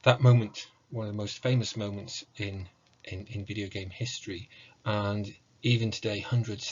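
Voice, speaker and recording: A man with a fairly low voice delivers an academic lecture. His phrasing is formal and measured, like prepared scholarly material. The recording is a little dull, with faint noise behind him. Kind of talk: academic lecture on video game music. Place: book-lined room at home, indoors.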